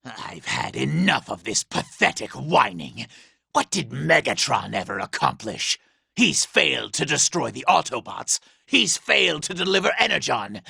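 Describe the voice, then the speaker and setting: A man voicing a vain, scheming, treacherous robot villain in a video game, raspy and gravelly, with a sneering, pompous, theatrical delivery. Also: medium pitch, big pitch swings, measured pace, bright, forceful tone, dry recording, exaggerated voice. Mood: smug. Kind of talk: video game character acting.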